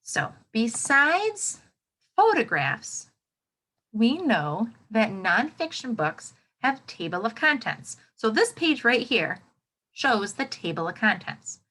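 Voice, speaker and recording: A woman teacher giving a read-aloud lesson to young children, expressive and instructive, often checking in with a quick question. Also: a little high, rough recording. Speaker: elementary teacher.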